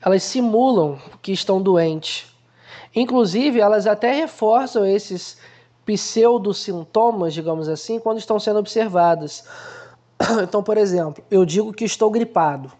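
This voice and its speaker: A man's voice: high voice, normal speed, dark tone. A man hosting a casual film analysis, explaining ideas conversationally and acting out everyday examples.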